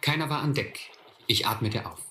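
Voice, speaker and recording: An actor as a reflective first-person narrator recalling a boyhood adventure in a German radio play. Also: male voice, mid-range voice, normal speed, sharp tone, echoey room, slight background noise, lightly acted.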